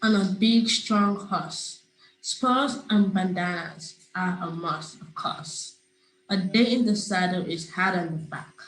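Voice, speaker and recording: A young woman from Nigeria, a public health student, reading a rhyming children's book aloud over a video call in a steady, friendly way. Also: fairly low pitch, slow. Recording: roomy video-call audio with faint hiss.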